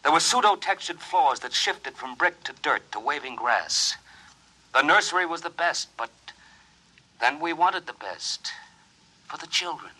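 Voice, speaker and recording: A dazed, anxious psychiatric patient in a 1950s radio drama. His husky voice is tense and swings widely in pitch, halting with emotional strain. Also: big pitch swings, sharp tone, heavily acted, rough recording. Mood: dazed recollection.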